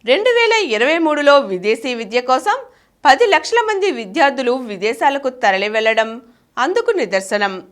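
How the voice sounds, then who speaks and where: A woman's voice: high-pitched, sing-song, normal speed. A woman reading a scripted explanatory piece as a narrator. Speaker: narrator reading an article for a channel.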